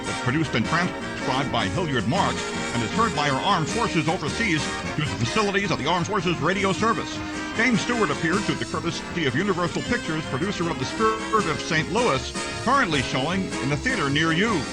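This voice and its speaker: A man's voice: fairly high pitch, expressive, a little fast, loud music behind the voice, lightly acted, rough recording. A man playing a formal 1950s American network radio announcer reading closing credits. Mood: formal, polished.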